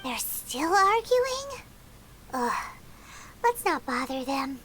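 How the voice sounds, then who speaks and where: An actress voicing a tiny, childlike companion creature in a video game, squeaky and exaggerated. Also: female voice, very animated, takes it slowly, a bit bright, slight background noise, heavily acted. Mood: exasperated. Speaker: game character. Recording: game music under the voice.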